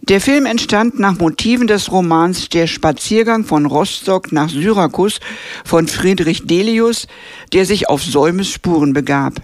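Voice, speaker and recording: A woman narrating a scripted radio film review in a measured, even way. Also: lowish voice, dry recording.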